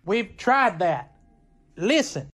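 Woman acting as young band musician, medium pitch, blunt, slangy, radio comedy. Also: sing-song, takes it slowly, soft background music. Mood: blunt, resigned. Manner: blunt, matter-of-fact.

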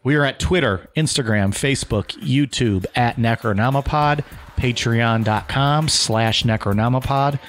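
A young man hosting a casual podcast, joking with his co-hosts and reading out listener shout-outs. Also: mid-range voice, measured pace, faint music behind. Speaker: podcast host.

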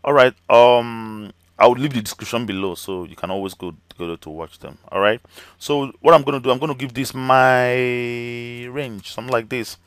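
Male teacher, tutorial voice-over, slightly hoarse and cracking, lots of "okay"s, dry close sound. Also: medium pitch, moderate pace, no echo. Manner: chatty, many fillers and "okay" checks. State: voice slightly cracking, losing his voice. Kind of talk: screen-recorded software tutorial.

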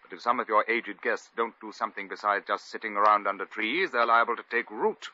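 Suave, bored British lord, very crisp forceful tone, pompous dry wit, vintage radio comedy. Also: male voice, lowish voice, fairly quick. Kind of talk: 1950 radio comedy acting. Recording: muffled vintage 1950s radio recording.

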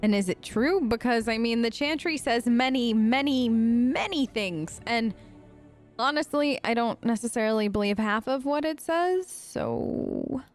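A woman's voice: fairly high pitch, a little slow, faint music behind. A woman hosting a gaming video, improvising casual role-play in a chatty, rambling, playful and informal way. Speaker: gaming video host, role-playing her character.

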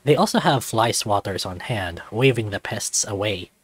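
A man reading a visual novel aloud as scripted, expressive first-person narration. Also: lowish voice, normal speed, husky.

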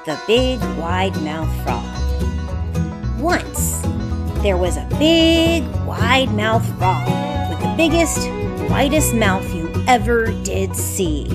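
Female children's storyteller, highly expressive pitch, unhurried delivery. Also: over loud music. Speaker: storyteller, puppeteer. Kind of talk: scripted children's story narration.

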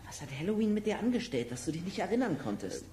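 A performer playing an articulate, excitable and slightly anxious boy detective in a children's audio drama. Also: mid-range voice, fast talker, slight background noise, natural voice.

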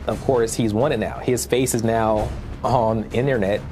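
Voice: medium pitch, fairly even intonation, a little slow, over music. A person interviewed in a crime report, explaining things in a plain, matter-of-fact way. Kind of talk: documentary interview.

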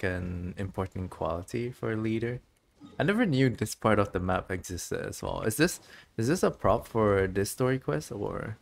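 A streamer giving casual, chatty commentary while playing a game, musing out loud in an informal way. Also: sits low, creaky. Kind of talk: casual game livestream commentary, reading dialogue aloud.

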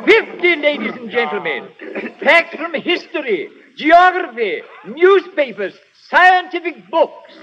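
A booming music hall manager. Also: male voice, very animated, a little slow, sharp tone, dull audio, heavily acted. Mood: grandiose. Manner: grand, booming showman announcing. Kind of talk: 1930s radio drama acting. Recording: old radio broadcast with crowd noise.

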